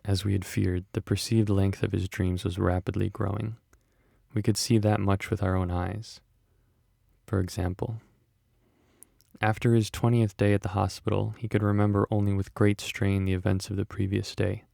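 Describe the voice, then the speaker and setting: A grave doctor narrating a horror story, acted by a man. His voice is low, creaky and mellow, with a detached, deliberate delivery. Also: flat delivery, no room sound, clean studio sound. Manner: sombre, deliberate. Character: grave, detached male doctor recounting a strange case.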